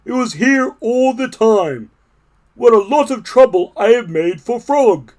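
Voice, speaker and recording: A man voicing a fussy, easily upset male toad in a storybook read-aloud, talking loud and complaining. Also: very high voice, expressive, a little slow, pitched above the performer's own voice. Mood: remorseful.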